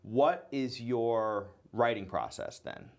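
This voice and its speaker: A man hosting an interview, easygoing and quick with affirming interjections. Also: medium pitch, vocal fry. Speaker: interviewer host. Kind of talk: casual interview.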